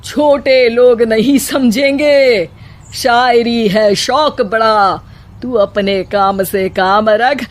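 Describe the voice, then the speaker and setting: A woman plays a vain, haughty old mother-in-law from a cartoon. Her voice is fairly high and slow, and she declaims pompous sing-song rhymes. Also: steady tone, slight background noise. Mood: boastful, dismissive. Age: older. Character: vain, haughty elderly mother-in-law obsessed with rhyming poetry.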